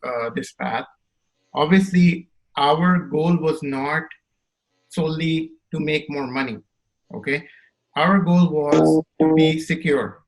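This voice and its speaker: A man's voice: fairly high pitch, poor audio. A man who grew up in Saudi Arabia, hosting a podcast and laying out long, thoughtful questions, often checking in with the guest. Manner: thoughtful, builds questions at length, checks in with "right?". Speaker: podcast host. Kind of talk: interview questions.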